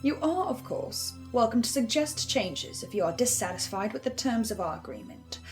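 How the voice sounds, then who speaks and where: A woman performing a formal, composed and menacing reader of a sinister letter in a horror audio drama, measured and deliberate. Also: fairly high pitch, sing-song, faint music behind. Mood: quietly menacing. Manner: measured, deliberate, ominously polite.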